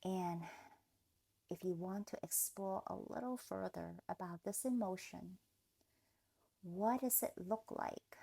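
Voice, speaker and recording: Slow, creaky-voiced woman guiding meditation. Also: medium pitch. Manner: soothing, gentle, deliberate, with pauses. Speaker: meditation guide. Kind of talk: guided meditation, scripted narration.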